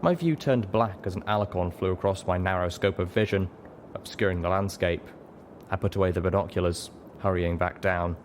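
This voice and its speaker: A man's voice: low-pitched, brisk, slight background noise. A man reading first-person fiction aloud as scripted audiobook narration. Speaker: audiobook reader.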